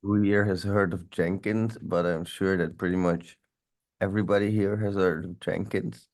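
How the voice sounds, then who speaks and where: Deep-voiced guy, stuffed-up nose, rambly casual teaching on a stream, swears a bit. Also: steady tone, normal speed. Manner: loose, rambling, occasional swearing. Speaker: security instructor. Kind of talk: casual live tech walkthrough.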